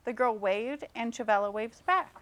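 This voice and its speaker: A woman teacher reading a storybook aloud expressively to children, pausing to check in with simple questions. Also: a little high, fairly bright tone, slight background noise.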